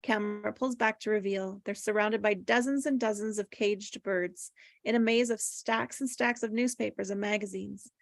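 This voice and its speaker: A woman's voice: medium pitch, fairly even intonation, normal speed, creaky, no echo, studio quality. A woman reading screenplay stage directions as plain, descriptive narration at a table read.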